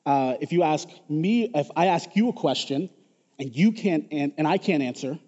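A man's voice: on the high side, animated, moderate pace, darkish tone, big-room sound, highs cut off. A man voicing a chatty male genius passenger inside a joke, told plainly in his own voice. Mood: friendly.

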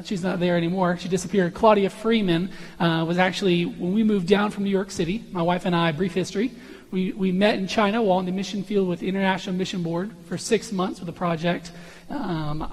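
A young man with an unusually high voice, a visiting college minister opening his sermon in a friendly, self-deprecating, chatty way. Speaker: guest preacher, college minister. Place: church sanctuary. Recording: long church reverb.

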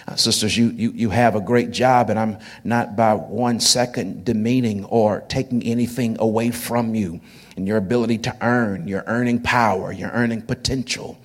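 A man's voice: medium pitch, slightly rough. A man in his forties, a pastor, preaching a sermon in a conversational way and often repeating words as he builds his phrases. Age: 40s.

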